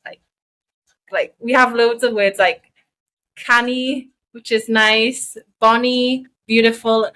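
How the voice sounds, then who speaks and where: A woman's voice: fairly high pitch, fairly even intonation, slow pace, fairly bright tone. Chatty woman with a mixed Geordie accent. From northeast England. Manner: rambling, self-deprecating, chatty. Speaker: podcast co-host. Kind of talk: casual podcast chat.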